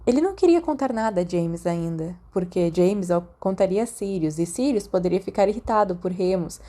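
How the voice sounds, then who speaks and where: A woman's voice: on the low side, animated, fairly quick, warm tone. A woman with a Brazilian accent reading scripted fiction as an audiobook narrator.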